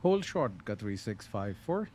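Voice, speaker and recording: A man casually talking through a live flight simulator session, relaxed and unhurried, thinking aloud with pauses. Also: on the low side, a little hiss or hum. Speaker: flight sim streamer. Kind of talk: casual live-stream commentary.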